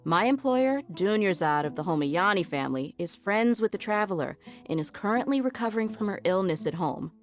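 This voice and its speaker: A woman's voice: medium pitch, lively intonation, fairly quick, few pauses, soft background music, lightly acted. A woman playing a brash, tough young fighter in a video game, casual and blunt. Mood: breezy, blunt.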